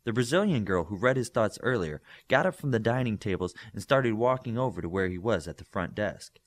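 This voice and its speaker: Expressive male audiobook narrator. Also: on the low side, a little fast. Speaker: audiobook reader. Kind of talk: scripted story narration.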